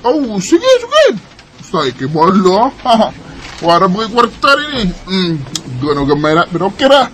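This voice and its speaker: A man's voice: high voice, huge pitch swings, a little slow, fairly bright tone, heavily acted, poor audio. Man as a jolly Cebuano drinking buddy. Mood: playful. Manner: teasing, flirty, laughing.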